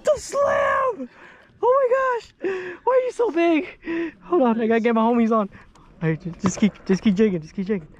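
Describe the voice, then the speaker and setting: A young man hosting a fishing vlog from a boat on a lake, excitable and chatty, often shouting when a fish bites. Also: extremely high pitch, normal speed, lo-fi sound. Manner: excitable, shouts on catches, says dude and bro a lot. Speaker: fishing channel host. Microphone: action camera worn on head.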